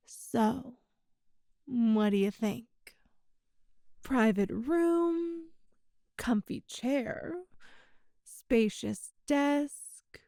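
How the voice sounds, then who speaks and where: A mellow, high female voice, very close and dry, a woman performing a possessive boss in an intimate ASMR roleplay. She is coy and teasing, lingering over her words as she flirts with the employee she adores. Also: no room sound, heavily acted. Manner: slow, coy, teasing, breathy pauses.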